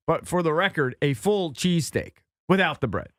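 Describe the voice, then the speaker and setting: A man's voice: a little high, lively intonation, normal speed, a bit bright, no echo, studio quality. An American man bantering casually as a sports radio host.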